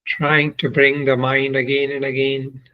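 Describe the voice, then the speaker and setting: A man guides a meditation in the manner of a swami instructing students. His delivery is very slow and deliberate, broken into short phrases with long pauses. The pitch stays at a medium level and moves very little, keeping an even line throughout. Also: highs cut off.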